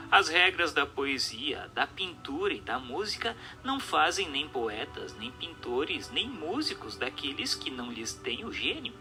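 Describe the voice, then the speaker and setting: A man reading scripted narration in a formal, measured, didactic way. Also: mid-range voice. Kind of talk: scripted audiobook-style narration. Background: music.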